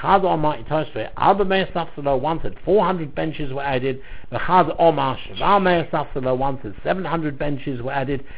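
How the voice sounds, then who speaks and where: A man's voice: on the high side, moderate pace, narrow, tinny audio. A man teaching a religious text line by line, reading and translating, animatedly explaining, repeating phrases and stumbling over words. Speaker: Talmud teacher.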